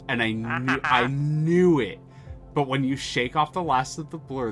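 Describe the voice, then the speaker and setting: A man's voice: medium pitch, a bit bright. A man streaming a story game, reading its text aloud and chatting casually, swearing freely.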